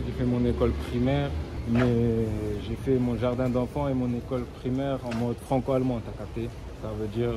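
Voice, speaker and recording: A young man, a rapper raised in Germany, chatting casually outdoors on city streets, laid-back and slangy with constant filler tags. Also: mid-range voice. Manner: relaxed slangy talk with frequent tags. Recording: loud street noise with traffic.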